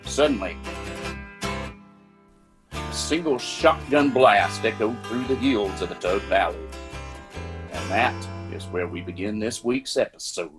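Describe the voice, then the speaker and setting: A man narrating a radio drama in a grandiose storytelling manner with dramatic pauses. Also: fairly low pitch, music in the background.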